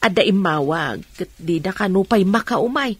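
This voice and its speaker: An actress playing a caring, practical middle-aged mother in an Ilocano radio drama, with a husky voice. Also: medium pitch, animated, moderate pace.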